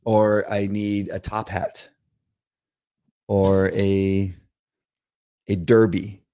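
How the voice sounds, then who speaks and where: A man's voice: fairly low pitch, level tone, very slow, dark tone. A middle-aged man co-hosting a podcast, chatting casually with his wife in a playful, rambling way and laughing often. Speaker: podcast co-host, former police officer. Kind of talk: casual chat with spouse.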